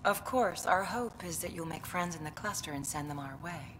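Actress as alien host for a sci-fi game, polite, low-pitched, faint noise behind. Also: female voice, normal speed, a bit bright, big-room sound, natural voice. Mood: welcoming. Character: polite alien woman running a cultural center. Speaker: cultural center host. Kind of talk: video game dialogue.

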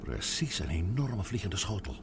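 An uneasy submarine companion in an old radio serial, wondering and questioning. His pitch moves in wide, lively swings, and the recording is clearly noisy with a rumble behind him. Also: moderate pace, heavily acted. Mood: awed, hushed. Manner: anxious, wondering. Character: uneasy, questioning man aboard a submarine, awed observer. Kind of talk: Dutch radio drama acting.

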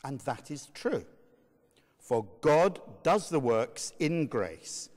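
A man reading a sermon as narrator in a measured, emphatic, oratorical way. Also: mid-range voice, very animated, darkish tone. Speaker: narrator, reader. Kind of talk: dramatic reading of a medieval sermon. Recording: long reverberant hall echo.

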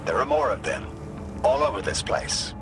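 An actor playing an intense, blunt guide in a video game, with an urgent, challenging way of talking. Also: male voice, normal speed, over music, natural voice. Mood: determined. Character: male guide tracking his commander, intense, defiant, blunt.

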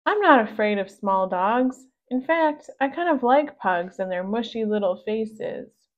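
A woman's voice: a little high, expressive, a little slow, muffled recording. A woman voicing a bold, feisty girl from a children's storybook, speaking with emphasis.

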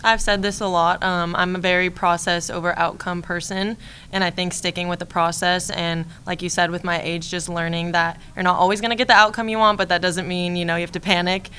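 A young woman in her twenties, a college softball catcher, answering postgame press questions at a desk mic, calm and steady, repeating key phrases. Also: on the low side, brisk, slight background noise. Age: 20s. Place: press room in front of a team backdrop. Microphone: desk mic on a stand.

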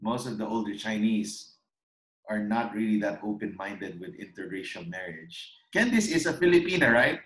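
A man's voice: fairly low pitch, animated, normal speed, fairly bright tone. A man from the Philippines, a pastor hosting a podcast, asking short friendly questions over a video call. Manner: friendly, brief prompting questions. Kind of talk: interview hosting. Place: room with plain light wall. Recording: roomy video call with faint music.

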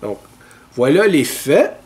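A man, hosting a podcast and giving measured, opinionated commentary to a webcam in a small room. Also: on the high side, sing-song, a little mellow. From Ontario, Canada. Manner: measured, earnest and opinionated, talks straight to camera. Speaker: podcast host. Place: small indoor room with dark curtains.

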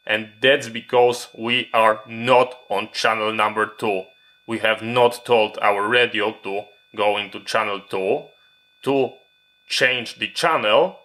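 Bright-voiced male instructor, unhurried. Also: on the low side. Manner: methodical, step-by-step. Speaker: instructor demonstrating radio programming. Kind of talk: hands-on tutorial explanation.

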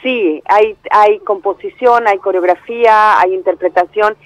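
A woman's voice: mid-range voice, measured pace, no echo. A woman from Andalusia with a Mexican-tinged Spanish accent, directing a theatre company and speaking enthusiastically over the phone with many fillers and restarts. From Spain, Andalusia. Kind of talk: phone interview. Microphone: telephone. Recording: muffled phone line.

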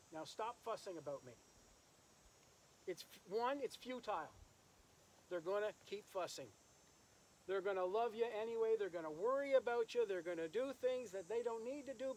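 A man, a minister, speaking solemnly and with pauses at a graveside service, reading scripture, praying and preaching outdoors. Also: sits high, a little mellow, poor audio. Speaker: minister officiating a funeral. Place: outdoors in an open rural cemetery. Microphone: camera several metres away. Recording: outdoor recording with insect and nature noise.

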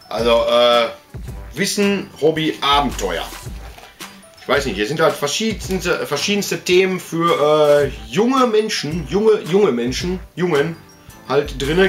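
A man's voice: medium pitch, a bit bright. A man, chatting casually to camera in a colloquial, rambling way full of tag words. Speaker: host of a collectibles video channel. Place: small indoor collector's room.

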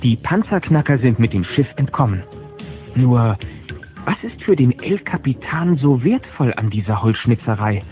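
A man's voice: medium pitch, normal speed, phone-quality sound, natural voice. A man narrating a children's audio drama as a storyteller, speaking to the listeners from a script. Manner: animated storyteller addressing listeners. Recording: band-limited old cassette with music.

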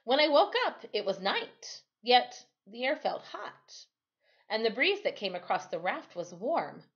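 A woman's voice: on the high side, very animated, a little slow, highs cut off. A middle-aged woman reading a children's novel aloud expressively, like a teacher at storytime. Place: small room at home, indoors.

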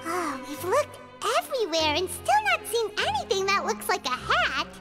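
A little floating fairy girl from a video game, childlike and chirpy. The voice is extremely high and squeaky, swinging wildly in pitch. Loud game music plays behind it. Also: wildly swooping pitch, moderate pace, heavily acted. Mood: pondering. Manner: chirpy, refers to herself in the third person. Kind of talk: animated video game acting.

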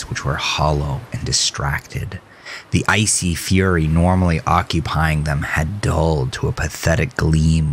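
A man's voice: a bass voice, slight background noise. A man reading scripted, dramatic horror narration as a storyteller.

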